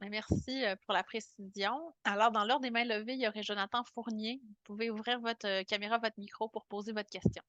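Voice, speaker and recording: Female moderator, courteous and measured, dry close sound. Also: medium pitch, steady tone, a bit bright, dry recording, lo-fi sound. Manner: measured, courteous, hesitant fillers. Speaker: meeting moderator. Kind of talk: moderating a public meeting.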